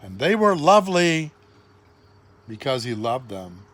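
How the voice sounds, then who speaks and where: An older man, a grandfather, reading a children's storybook aloud with dramatic, deliberate, expressive phrasing. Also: medium pitch.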